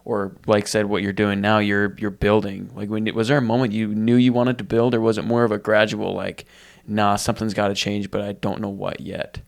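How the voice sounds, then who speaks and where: A male podcast host asking slow, searching questions in an interview. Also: on the low side, level tone, gravelly.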